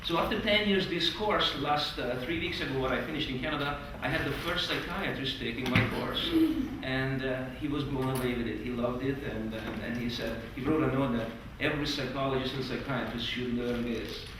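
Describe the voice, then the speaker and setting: A man with a Hungarian accent teaching a stage hypnosis course to a group, earnest and eager, with non-native phrasing and lively emphasis. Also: mid-range voice, fairly quick, hardly any pauses, noisy background. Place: large hotel meeting room. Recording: echoey hall recorded from far off.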